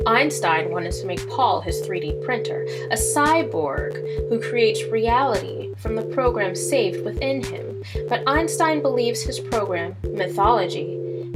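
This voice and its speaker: A woman's voice: mid-range voice, rough recording. A woman announcer reading scripted narration for an audio drama. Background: loud music.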